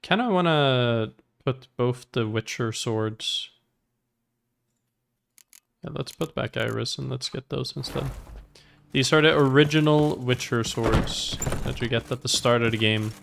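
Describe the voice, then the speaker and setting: A man's voice: medium pitch, unhurried, creaky, fairly bright tone, slight background noise. A man giving casual, chatty live gameplay commentary as a streamer, thinking aloud as he plays. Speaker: game streamer.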